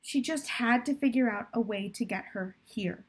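Husky young woman reading a story aloud. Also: a little high, normal speed. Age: young adult. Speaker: teacher reading a children's novel aloud. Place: plant-filled room indoors by a window.

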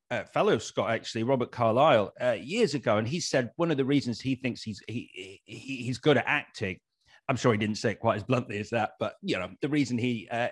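A man's voice: mid-range voice, husky. A man in his thirties with an English accent, hosting a casual interview chat into a studio mic. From Leeds, northern England. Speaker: interview host. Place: room with bare brick wall. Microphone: studio mic on boom arm.

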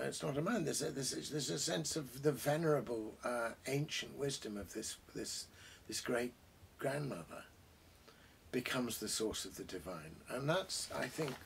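A British man in his sixties, a host talking about books and reading aloud, reflective and savouring his phrases with deliberate pauses. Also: medium pitch, gravelly, slightly rough. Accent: British English. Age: 60s. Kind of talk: bookish commentary and reading aloud. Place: small book-crammed study, indoors.

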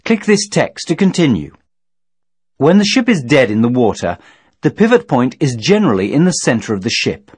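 Husky male training narrator, lively intonation. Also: mid-range voice, no room sound. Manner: measured, formal, didactic. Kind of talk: scripted instructional narration.

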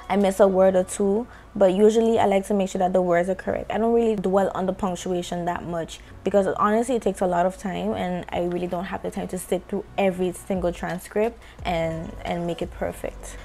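A young woman with a West Indian accent, walking through a video editing tutorial in a casual, conversational way and articulating her words with care. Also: medium pitch. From West Indies. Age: young adult. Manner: relaxed, conversational, careful articulation. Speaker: content creator tutorial host. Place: small indoor home studio. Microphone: handheld microphone close to mouth.

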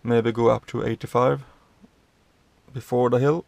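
A man in his thirties from Sweden, a truck driver giving a casual tour of his cab, explaining the controls in a halting way with many fillers and restarts. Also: mid-range voice, little pitch movement, gravelly, darkish tone, dry recording, lo-fi sound.

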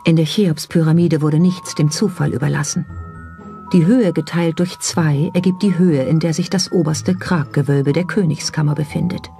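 Documentary narrator, mid-pitched and relaxed, scripted delivery, light music bed. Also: moderate pace, creaky. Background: background music.

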